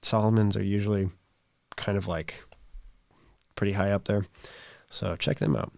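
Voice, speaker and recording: A man in his thirties hosting a live stream, chatting casually and reading viewer comments, his voice strained and raspy, talking in rambles full of fillers. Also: lowish voice, fairly even intonation, normal speed, darkish tone, no echo. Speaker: livestream host.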